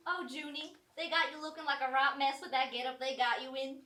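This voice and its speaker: A woman playing a kindly, prim Southern country woman in mourning, folksy and gently scolding, in a live amateur stage comedy. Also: high voice, fairly even intonation, a little fast, a little hiss or hum. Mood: fond, teasing. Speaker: actor in a staged reading of a short play. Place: small college theatre stage. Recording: roomy theatre, mic at a distance.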